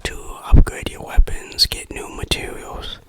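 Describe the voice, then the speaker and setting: A person whispering a casual game review in ASMR style. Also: measured pace.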